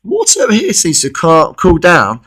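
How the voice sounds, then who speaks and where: A man of thirty-one, losing his voice with a cold, gives an informal, halting weather forecast commentary and often repeats himself. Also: fairly high pitch, wildly swooping pitch, sharp tone. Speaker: amateur weather vlogger.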